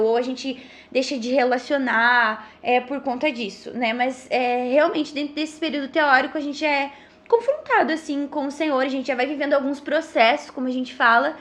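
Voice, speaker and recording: A Brazilian woman of thirty speaks in a distinctly high voice. She explains things as a podcast guest in a casual, earnest way, halting now and then and tagging her phrases for agreement. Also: fairly bright tone.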